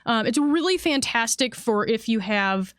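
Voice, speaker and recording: Higher-voiced woman chatting in a dry room. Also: expressive, normal speed, a bit bright, dry recording. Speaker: game designer presenting on a company livestream. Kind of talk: casual explanatory chat about a tabletop game.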